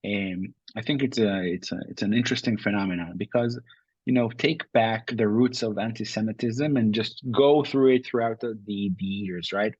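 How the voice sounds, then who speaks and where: Israeli man, husky voice, dry sound. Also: fairly low pitch, moderate pace, a little mellow, no echo, dull audio. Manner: thoughtful, with frequent restarts and uh fillers. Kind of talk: interview answers.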